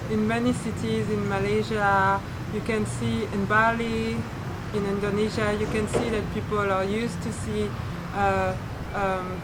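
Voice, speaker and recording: A woman's voice: mid-range voice, heavy background noise. A young French woman with a French accent, telling her experiences as a guest, hesitant, with many fillers and restarts. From France.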